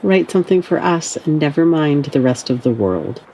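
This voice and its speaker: A woman acting in an audio play as a gentle, encouraging relative and narrator, tender and measured. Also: deep voice, animated, a little hiss or hum, poor audio.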